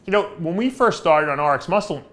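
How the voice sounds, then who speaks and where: Male talk show host, American accent, slightly high voice, rambling conversational questioning. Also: animated, brisk, a bit bright. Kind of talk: conversational interview.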